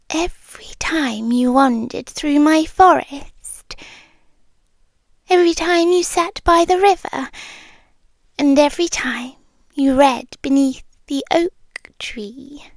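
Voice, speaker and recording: A British woman voicing a possessive, doting female spider monster in an intimate ASMR roleplay, speaking softly, often whispering, with sweet menace. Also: sits high, dry recording, clean studio sound.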